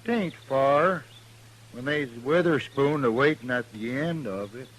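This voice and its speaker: A man's voice: medium pitch, measured pace, lightly acted. A stubborn feuding mountain man from a vintage radio Western, played by a male actor. He talks very unhurriedly in a thick Ozark hillbilly drawl. The old recording has a faint hiss of noise behind him. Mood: stubborn, calm. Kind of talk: 1950s Western radio acting.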